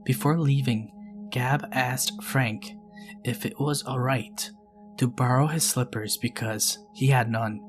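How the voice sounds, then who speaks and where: A man hosting a storytelling channel, reading scary stories in a calm, measured way. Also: mid-range voice, over music. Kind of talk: scripted narration of scary stories.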